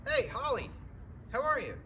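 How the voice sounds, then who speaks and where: A man playing a dryly teasing, deadpan coffee shop barista in a comedy table read. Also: very high voice, normal speed, far from the mic. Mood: friendly, teasing. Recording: noisy outdoor recording with crickets.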